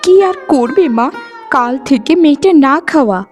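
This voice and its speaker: A woman's voice: high-pitched, expressive, moderate pace, a little mellow, soft background music. A woman voicing a long-suffering poor village wife and mother in a cartoon, plaintive and weary. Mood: weary complaint. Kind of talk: cartoon voice acting in a moral story.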